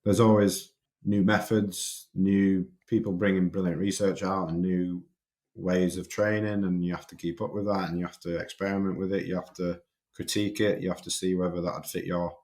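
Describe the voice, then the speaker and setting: Young Yorkshire man, podcast guest, very low and level voice, halting delivery that repeats words. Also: little pitch movement, a little mellow. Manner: hesitant, repeats and restarts words. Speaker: strength and conditioning coach, podcast guest. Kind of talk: casual podcast interview.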